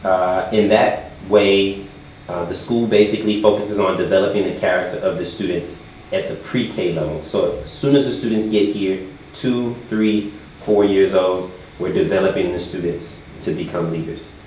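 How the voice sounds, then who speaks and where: Interviewee: man, very low voice, measured and deliberate delivery, booming echoey room, faint hiss. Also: steady tone, a little mellow. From United States. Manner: measured, deliberate, many "uh" fillers. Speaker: volunteer teacher. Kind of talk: interview answers.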